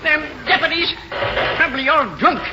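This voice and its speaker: High-voiced, fretful old man. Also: normal speed. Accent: American. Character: fretful old man at a roadside gas station. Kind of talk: 1940s American radio drama acting. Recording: muffled, very noisy old radio recording.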